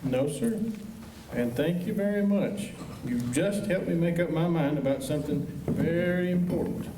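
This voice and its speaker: A man's voice: on the high side, moderate pace, noisy background, natural voice. A man playing a polite, folksy, earnest deputy in an old-time western radio drama. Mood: dutiful, resolved. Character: earnest, deferential, lovestruck young western deputy. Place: high school auditorium stage. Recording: echoey auditorium, distant mic, loud music.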